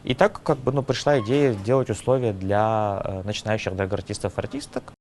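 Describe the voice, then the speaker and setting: A man's voice: on the low side, fairly even intonation, moderate pace, darkish tone, slight background noise. Man rambling as he searches for words. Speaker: organizer of a drag contest. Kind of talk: documentary interview.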